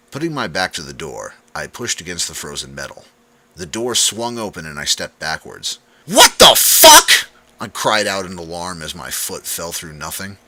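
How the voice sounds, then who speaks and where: A man's voice: low voice, bright, forceful tone. A man reading a first-person story aloud as a dramatic audiobook narrator.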